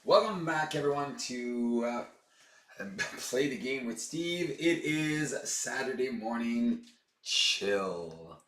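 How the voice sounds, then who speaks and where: A hoarse man chatting casually as he plays through a card game for his channel, upbeat and full of fillers. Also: mid-range voice, animated, takes it slowly, a bit bright, roomy sound. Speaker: board game channel host. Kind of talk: casual tabletop game playthrough.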